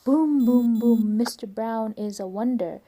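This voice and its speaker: A woman reading a picture book aloud for young children, expressive and playful with the sounds of the words. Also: a little high, unhurried. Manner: expressive, with playful imitations of noises.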